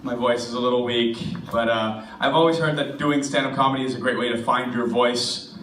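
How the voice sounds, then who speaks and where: A man in his twenties with an American accent, hoarse from a cold, doing a rambling, self-mocking stand-up set in a bar. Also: mid-range voice, fairly even intonation, a little fast, a bit bright, slight background noise. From United States. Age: 20s. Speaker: stand-up comedian. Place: comedy club stage in a bar. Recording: echoey room recorded from a distance.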